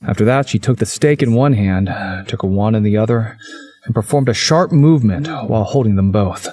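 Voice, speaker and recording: A man's voice: fairly low pitch, a little fast, mellow, faint music behind. A man narrating a visual novel in the first person, as a story's narrator.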